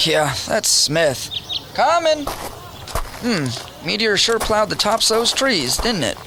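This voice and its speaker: A man's voice: high voice, very animated, slow, exaggerated voice. A man acting in a radio drama as a folksy, easygoing small-town fellow given to homely exclamations. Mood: curious. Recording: noisy radio play recording.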